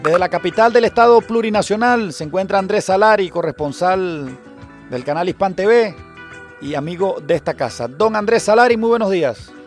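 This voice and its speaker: Brief: Venezuelan male radio host, high voice, brisk announcer read, faint music underneath. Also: lively intonation. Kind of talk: radio news reading and interview. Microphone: studio microphone.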